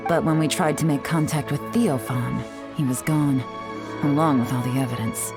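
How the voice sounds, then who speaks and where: Sly confident spy lady from a game, low-ish voice, teasing and composed, music behind. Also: moderate pace. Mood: cool, calculating. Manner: poised, playful, knowing. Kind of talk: video game voice acting.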